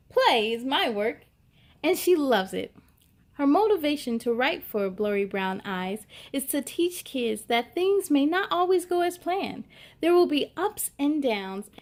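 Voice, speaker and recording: A woman's voice: sits high, darkish tone. A woman hosting a children's story time, reading aloud expressively and talking encouragingly straight to young listeners.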